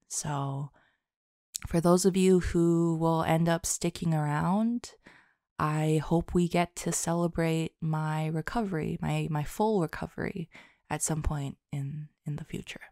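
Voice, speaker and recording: A woman speaks slowly in a somewhat low voice with plenty of vocal fry. She chats informally and sincerely to her audience as an audio creator, often halting and poking fun at herself. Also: mellow, no room sound, studio quality. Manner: halting, self-deprecating, informal. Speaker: audio roleplay and ASMR creator. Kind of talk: casual, heartfelt solo chat to listeners.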